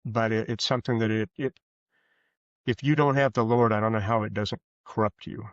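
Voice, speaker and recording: Male podcast guest, deeper register, earnest and halting, reflective delivery, dull roomy recording. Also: steady tone. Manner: halting, earnest, rephrases as he goes. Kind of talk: reflective interview conversation. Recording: muffled, roomy, echoey sound.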